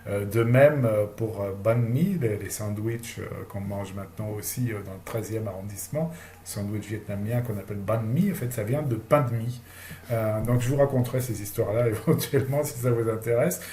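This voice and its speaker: A man giving a recorded lecture on language teaching, pausing often with fillers. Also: lowish voice, dark tone, reverberant. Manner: hesitant, many fillers.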